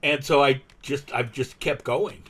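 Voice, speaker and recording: A man's voice: mid-range voice, fairly even intonation, a little slow, a bit bright, husky. A man chatting casually and telling anecdotes, acting out quotes and checking in with the listener. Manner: animatedly quotes himself and others, frequent "right?" tags. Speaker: language-learning live streamer.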